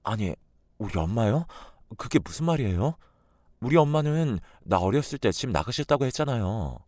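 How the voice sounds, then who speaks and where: A man's voice: medium pitch, big pitch swings, moderate pace, no room sound, clean studio sound, lightly acted. A man voicing a young wife who reacts with shock and anger in a read-aloud story. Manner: shocked, then shouting. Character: young wife, hurt and furious.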